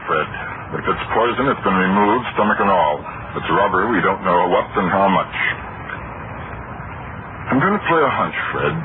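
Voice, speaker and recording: A man playing a brisk, commanding district attorney in an old-time radio drama, with an authoritative, clipped, formal delivery. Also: fairly low pitch. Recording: noisy, muffled old radio broadcast.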